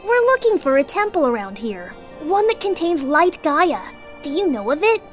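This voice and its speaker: A woman's voice: high voice, sing-song, normal speed, soft background music. A woman playing an earnest young fox in a cartoon audio drama. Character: earnest young two-tailed fox, childlike.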